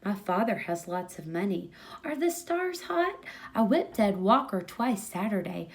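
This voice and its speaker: Woman acting a young boy, storybook character, boisterous, excitable, rambling, bold. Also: medium pitch, unhurried. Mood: excited chatter. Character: boisterous ten-year-old boy playing a fierce chief.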